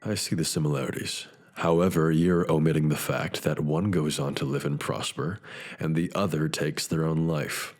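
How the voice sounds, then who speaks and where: An actor playing a brooding, philosophical detective in a scripted future noir drama, speaking in measured, formal phrases. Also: male voice, very deep voice, fairly even intonation. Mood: guarded, probing. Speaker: detective character and narrator. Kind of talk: scripted noir drama dialogue and reflective monologue. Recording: long reverb, music behind.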